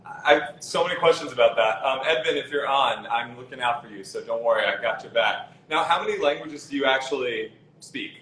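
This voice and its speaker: A man hosting a live-stream interview, upbeat and encouraging, with quick reactions. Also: mid-range voice, a bit bright. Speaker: interview host. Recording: roomy echo, faint noise.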